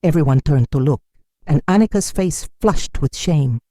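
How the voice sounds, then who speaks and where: Mellow, expressive storytelling narrator. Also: medium pitch, normal speed, no echo, clean studio sound.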